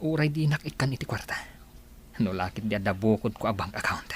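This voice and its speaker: A man playing a rich young son who wants money of his own, with a husky voice, in a dramatised story. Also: on the low side, animated, brisk. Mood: eager, determined.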